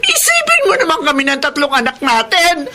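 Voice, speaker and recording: High, reproachful wife. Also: female voice, wildly swooping pitch, a little fast, heavy background noise. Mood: reproachful, anguished. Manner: nagging, pleading, tearful outbursts. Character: distressed, reproachful wife and mother of three. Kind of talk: Filipino radio drama acting.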